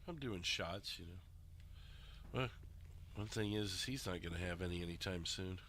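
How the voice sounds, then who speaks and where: A man's voice: low-pitched, moderate pace, fairly bright tone, slight background noise. A man chatting casually as he co-hosts a podcast about recorded gameplay. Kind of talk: casual chat.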